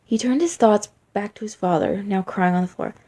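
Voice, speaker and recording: A woman's voice: mid-range voice, normal speed, mellow. A woman narrating a dramatic story from a script as a fan fiction reader.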